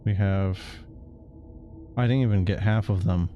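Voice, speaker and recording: A man's voice: sits low, measured pace, muffled recording, a little hiss or hum. A man streaming a game, casually commenting and reading text aloud.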